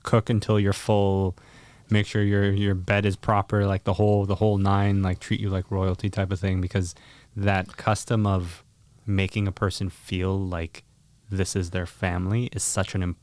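Low-voiced male podcast host. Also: level tone, normal speed, no room sound. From Canada. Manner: warm, encouraging, eager interjections. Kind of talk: casual podcast conversation.

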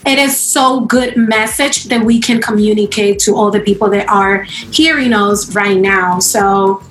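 Slow-speaking woman interviewer in echoey room. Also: medium pitch. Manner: slow, halting, non-native grammar. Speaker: interviewer, host. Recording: roomy echo, faint music behind.

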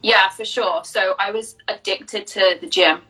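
Bright-voiced woman from Jersey, chatty. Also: medium pitch, fairly even intonation, unhurried, recorded from a distance, rough recording. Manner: open, chatty storytelling with fillers. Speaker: business coach, guest. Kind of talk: casual interview answers.

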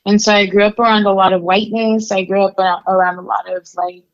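A woman's voice: mid-range voice, flat delivery, fast, lo-fi sound. Candid woman telling her story. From Mexico. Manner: candid, conversational, many fillers. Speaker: interview guest. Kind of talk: casual storytelling interview.

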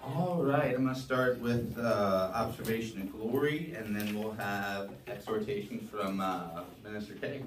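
A man leading a church service, reading scripture with measured emphasis and preaching. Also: on the low side, a little hiss or hum. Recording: roomy sound from a distance.

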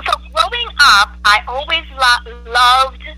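A woman from the Bahamas, a guest speaking in an interview in an earnest, measured way with church phrases. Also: high-pitched, animated, pushed and bright, low-quality recording. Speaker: guest, church educator and gospel soloist. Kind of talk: interview conversation.

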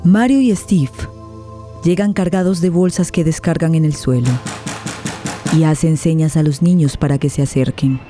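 Radio drama narrator, female, warm dark timbre, scripted dramatic delivery over light music. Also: low-pitched, moderate pace.

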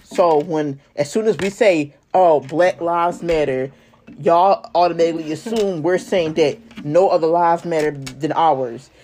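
An American podcast speaker in casual conversation, earnest and a little halting, repeating phrases to press a point. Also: mid-range voice, warm tone, slight background noise.

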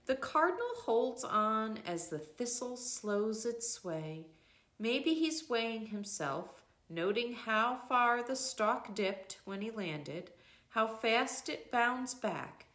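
Older female narrator for literary nature readings; unhurried, animated intonation, roomy echoing sound. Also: on the high side. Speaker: reader of a nature devotional book. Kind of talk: scripted narration, literary read-aloud.

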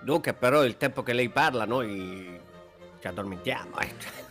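A man playing a lazy, boastful, down-at-heel count in an improvised comic radio sketch, talking in a halting, colloquial way. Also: mid-range voice, lively intonation, a bit bright, faint music behind, lightly acted. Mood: bemused.

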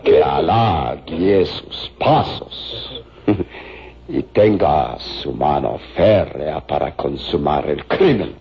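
An actor playing a sinister crime boss who gives orders slowly and solemnly in a radio drama. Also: male voice, rumbling low, expressive, vocal fry, full character voice. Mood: menacing, solemn. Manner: slow, solemn, commanding. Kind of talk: Mexican radio drama acting. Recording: dull lo-fi radio recording with music.

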